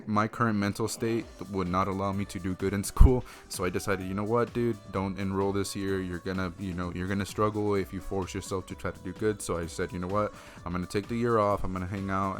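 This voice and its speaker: Laid-back low-voiced young man. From Mexico. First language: Spanish. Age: 20s. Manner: casual, swears freely, rambling with many fillers. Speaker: YouTube streamer. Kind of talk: casual Q&A vlog.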